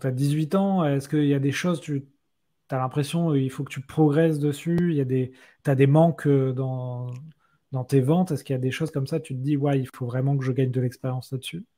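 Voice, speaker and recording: Relaxed, mellow male podcast interviewer. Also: medium pitch. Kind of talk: casual conversational interviewing.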